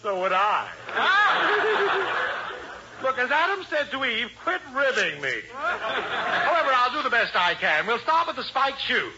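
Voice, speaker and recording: An actor playing a wisecracking, excitable, zany store salesman in a 1940s radio comedy. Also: extremely high pitch, a little slow, pauses often, exaggerated voice. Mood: jokey. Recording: muffled, lightly noisy old radio broadcast.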